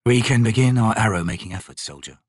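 A man voicing a terse, solemn undead warrior of hide and bone, speaking in a flat, formal, measured way for an audiobook. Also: lowish voice, husky, dry recording, clean studio sound. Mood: solemn, businesslike.

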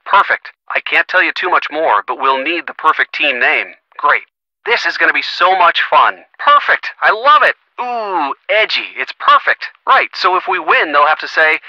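An actor playing a supportive, teasing young boyfriend in a video game, earnest and lightly wry, heard through a phone filter. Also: male voice, fairly high pitch, animated, moderate pace, bright, forceful tone, dry recording. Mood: excited, playful. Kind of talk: video game voice acting, conversational. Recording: thin, band-limited phone-like sound.

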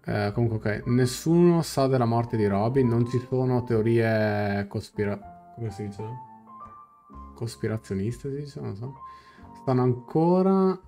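A man's voice: mid-range voice, measured pace. A young adult Italian man streaming, translating game dialogue aloud and correcting himself as he goes. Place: small indoor room at home. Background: soft game music.

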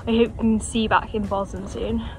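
A woman's voice: a little high, little pitch movement, a little fast, over music. A teenage girl giving a cheerful, direct good-luck video message.

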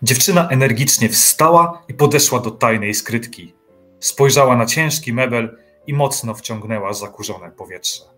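A man's voice: medium pitch, normal speed, a bit bright. A man reading scripted literary narration as the narrator of an audio drama. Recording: music behind the voice.

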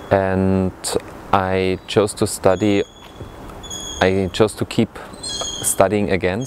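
A young man with an Austrian accent speaking to camera outdoors about his studies, often pausing to find his words. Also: low voice, darkish tone, a little hiss or hum. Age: young adult. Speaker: international student. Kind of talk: testimonial to camera. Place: outdoors in a city courtyard. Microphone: lapel mic.

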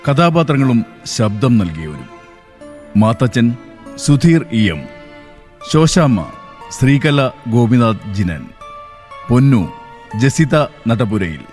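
A man reading the closing credits of a radio drama in a measured, scripted way. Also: mid-range voice, very animated, a little mellow, faint music behind. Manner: slow, measured. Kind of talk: scripted announcement.

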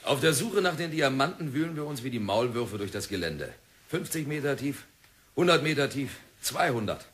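An actor playing a stubborn, zealous young geologist in a German radio play, speaking earnestly and insistently, with deference to a superior. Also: male voice, mid-range voice, expressive, moderate pace, fairly bright tone, close to an everyday voice. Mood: insistent, fervent. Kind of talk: German radio drama acting.

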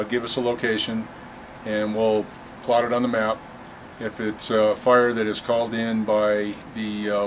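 A man in his fifties explains his workplace in a matter-of-fact, halting way. His voice is on the low side and very flat in melody. He is recorded in an office with clear air-conditioning noise behind him. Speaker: fire staff officer. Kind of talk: informal on-camera explanation of a workplace. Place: busy open dispatch office, indoors.

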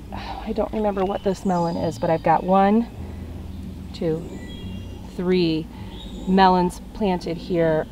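Mellow-voiced woman touring her garden. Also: on the low side. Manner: relaxed, chatty, pointing out plants as she walks. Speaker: gardening vlogger. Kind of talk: casual walking garden tour. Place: outdoor backyard vegetable garden. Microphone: handheld camera.

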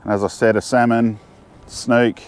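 A man's voice: medium pitch, flat delivery, a little slow, smooth. A middle-aged Australian man chatting casually to camera while fishing from a jetty, thinking aloud and restarting his sentences. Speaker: fishing vlogger. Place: outdoors on a windy jetty.

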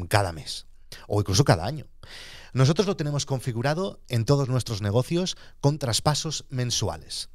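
A man in his forties, hosting a podcast, calmly and methodically explaining how his business handles money. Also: medium pitch, dry recording, studio quality. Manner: calm, methodical, explains terms as he goes. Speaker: podcast host and entrepreneur. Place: small studio in front of a blue backdrop. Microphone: studio microphone on a boom arm.

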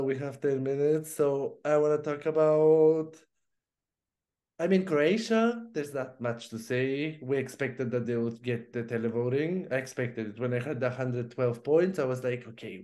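Animated man chatting over a video call. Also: medium pitch. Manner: animated, quick bursts of speech with fillers and laughs. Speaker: co-host. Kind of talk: casual chat on a video call. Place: home room with plants.

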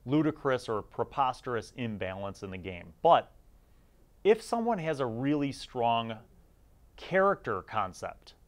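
Man, middle-aged, mid-pitched voice, hobby review host, casual conversational commentary, informal, chatty, stresses key words. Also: sing-song, measured pace. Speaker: host of a tabletop gaming review series.

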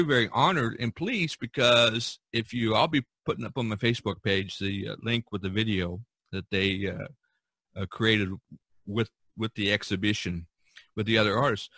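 A man chatting casually as a podcast co-host, haltingly searching for his words. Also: fairly low pitch, bright, forceful tone, husky, no echo. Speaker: podcast co-host, representational artist.

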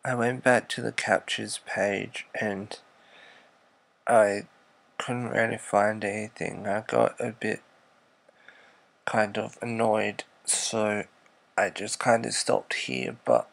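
A man narrating a screen-recorded walkthrough, explaining slowly and evenly and letting his sentences trail off quietly. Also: lowish voice, vocal fry. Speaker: YouTuber.

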